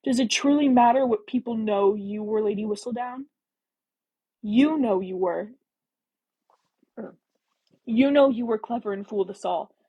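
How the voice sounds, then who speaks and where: An exasperated Regency gentleman, played by a woman narrator, argues in a husky voice. He is testy and insistent, pressing his case as in a romance audiobook. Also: a little high, unhurried, pauses often, muffled recording. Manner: testy, persuasive.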